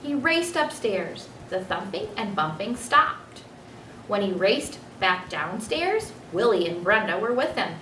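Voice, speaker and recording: Female children's book narrator, medium pitch, expressive. Roomy recording with faint noise. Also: measured pace, far from the mic.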